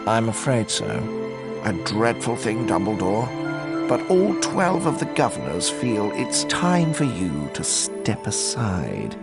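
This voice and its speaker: Smug, slow, deep-voiced villain. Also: male voice, big pitch swings, exaggerated voice. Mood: smug, cold. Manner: pompous, drawling. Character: cold, smug aristocratic male villain. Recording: loud music behind the voice.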